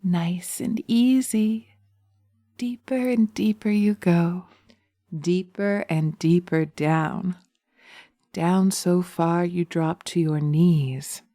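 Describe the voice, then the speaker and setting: A sly witch as acted by a woman in a hypnosis ASMR roleplay, drawing out words slowly in a mellow, breathy voice that often sinks to a whisper. She coaxes, taunts and commands seductively, very close and dry on the microphone. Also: on the low side, fairly even intonation, no room sound. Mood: soothing, coaxing. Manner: slow, coaxing, taunting, commanding. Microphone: close binaural microphone.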